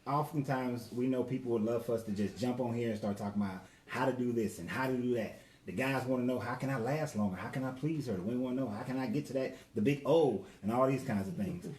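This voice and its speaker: Forties man, relaxed slangy co-host, echoey room, faint hiss. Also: mid-range voice, quick pace. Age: 40s. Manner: laid-back, slangy, talks to viewers by name. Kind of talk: casual livestream talk.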